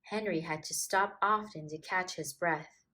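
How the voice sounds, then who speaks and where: A woman's voice: lowish voice, measured pace, bright, forceful tone. A woman teacher reading a storybook and talking to young children in an encouraging way, with simple phrasing. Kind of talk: storybook read-aloud and gentle address to children.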